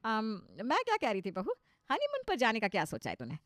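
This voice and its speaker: A woman's voice: on the high side, huge pitch swings, a little fast, a bit bright, no echo, clean studio sound. A woman voicing an anxious middle-aged mother-in-law who puts on modern airs, coaxing and flustered, in a comic cartoon story. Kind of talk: cartoon comedy story dialogue.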